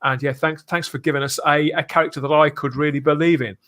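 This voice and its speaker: Guy hosting a fan podcast, bit high voiced, earnest and emphatic, deliberate phrasing. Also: steady tone, fairly bright tone. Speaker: podcast host. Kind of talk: live podcast fan discussion.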